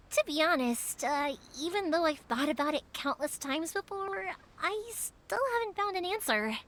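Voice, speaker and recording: A woman voicing a cheerful, earnest young female fireworks maker in a video game, talking warmly and thoughtfully. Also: very high voice, sing-song, a little slow, a little hiss or hum, full character voice. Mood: reflective. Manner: warm, earnest, reflective with soft laughs. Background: game music.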